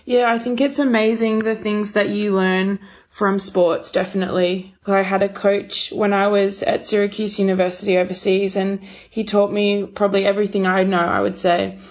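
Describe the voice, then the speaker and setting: A woman's voice: medium pitch, flat delivery, moderate pace, few pauses, darkish tone. A woman interviewer speaking conversationally, with an encouraging, affirming manner. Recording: slightly dull, lo-fi sound.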